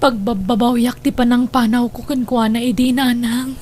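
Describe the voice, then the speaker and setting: Actress as high-voiced, pleading young wife. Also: female voice, fairly even intonation, moderate pace, a little mellow, slight background noise, lightly acted. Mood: subdued remorse. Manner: plaintive, imploring. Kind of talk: melodramatic audio drama acting.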